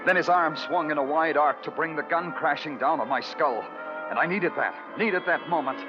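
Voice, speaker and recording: An actor playing a terse, world-weary New York police detective in a 1940s radio noir. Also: male voice, fairly high pitch, normal speed, music in the background, lightly acted. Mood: tense narration. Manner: terse, brooding, poetic narration. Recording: dull vintage radio recording.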